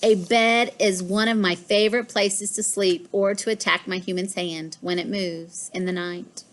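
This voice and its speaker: A woman's voice: mid-range voice, steady tone, unhurried, natural voice. A woman voicing a smug, mischievous pet cat in a storybook, with a boastful, emphatic delivery. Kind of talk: storybook read-aloud.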